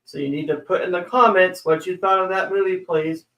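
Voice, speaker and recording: Squeaky-voiced playful male co-host. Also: fairly even intonation, fairly bright tone, roomy sound, muffled recording. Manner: playful, jokey, quick to exclaim. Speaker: co-host of a live stream. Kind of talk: casual live-stream chat.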